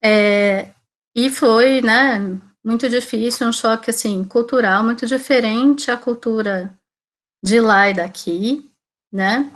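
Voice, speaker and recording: A woman's voice: on the high side, slow. A Brazilian woman in her forties from Salvador, Bahia, giving an informal talk as a teacher, conversational and often checking in with tag questions. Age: 40s. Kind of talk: informal online talk about own career.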